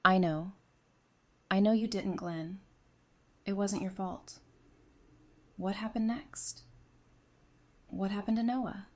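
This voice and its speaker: A woman acting a candid, steady, protective survivor in an audio drama. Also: medium pitch, slow pace, pauses often, natural voice. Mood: gentle, consoling.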